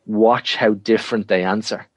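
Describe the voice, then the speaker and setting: A frank Irish man talks in a lowish register, leaning hard on his points and often saying them twice. His voice is dry and close, with no room sound. He sounds like he is on a video call. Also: fairly even intonation, moderate pace, breathy edge, no echo. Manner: frank, emphatic, repeats himself when making a point. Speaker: interview guest. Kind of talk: conversational interview answers.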